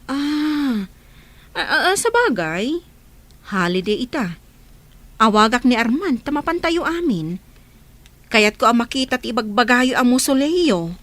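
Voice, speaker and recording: A woman's voice: on the high side, very animated, unhurried, pauses often, a bit bright, no room sound. A woman with a Filipino accent playing a kindly, sociable village auntie in a radio comedy drama.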